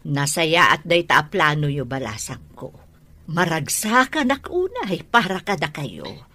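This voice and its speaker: A woman's voice: sits low, very animated, a little slow, bright, forceful tone, exaggerated voice. A woman playing a supportive, motherly older auntie in an Ilocano radio drama, with an Ilocano Filipino accent.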